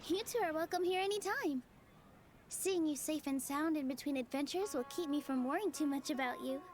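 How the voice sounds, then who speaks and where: An actress playing a gentle, hopeful young woman dancer in a video game, speaking softly and sincerely. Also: high-pitched, brisk, a little hiss or hum, close to an everyday voice. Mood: warm, welcoming. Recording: game dialogue over prominent music.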